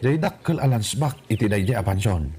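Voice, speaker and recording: A man's voice: fairly low pitch, animated, fairly quick, warm tone, a little hiss or hum, lightly acted. A man playing a sly, freeloading village sidekick in an Ilocano radio comedy drama. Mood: sly, eager.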